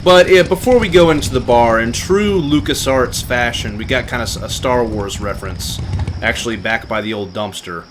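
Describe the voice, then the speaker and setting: A man's voice: medium pitch, normal speed, noisy background. A man giving casual playthrough commentary as a video game streamer. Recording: game music behind the voice. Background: video game audio.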